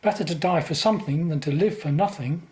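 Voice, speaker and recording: A woman's voice: low-pitched, fairly quick, darkish tone, natural voice. A woman playing an earnest, idealistic son in a radio play, brave and self-sacrificing. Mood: resolute, pleading.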